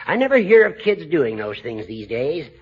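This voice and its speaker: A man playing a dry, needling elderly judge with a creaky voice, acting in 1940s radio comedy style. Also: on the high side, big pitch swings, moderate pace, exaggerated voice. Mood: dry reminiscing. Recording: muffled 1940s radio recording.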